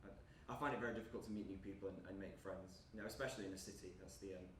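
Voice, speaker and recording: A man's voice: sits low, fairly even intonation, rapid-fire, lightly acted. Male actor as awkward young gamer guy, hesitant, apologetic, live stage play, echoey, faint noise. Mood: awkward, friendly. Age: young adult. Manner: nervous, rambling, apologetic, hesitant. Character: awkward, eager, lonely male gamer and security guard. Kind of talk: live stage acting, naturalistic dialogue. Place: indoor stage performance space. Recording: echoey room from far off, background noise.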